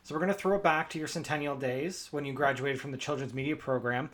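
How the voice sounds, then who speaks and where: A man's voice: fairly high pitch, steady tone, fast, fairly bright tone. A man interviewing a guest, asking questions and giving brief encouraging replies.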